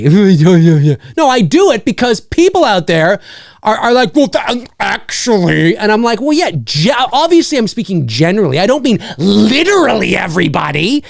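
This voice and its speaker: A man hosting a casual podcast, talking in a loose, rambling way and dropping curse words freely. His pitch swings widely as he slips into quick mock voices of commenters. The sound is close and dry, with almost no room around it. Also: gravelly, no echo. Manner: lively, profane, rambling with mock-quoted voices. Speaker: podcast host. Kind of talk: casual chat.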